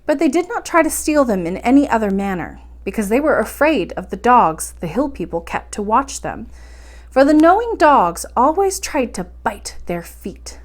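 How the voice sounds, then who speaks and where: A woman's voice: fairly high pitch, sing-song, moderate pace. A woman reading a children's story aloud expressively, as the host of a bedtime storytime.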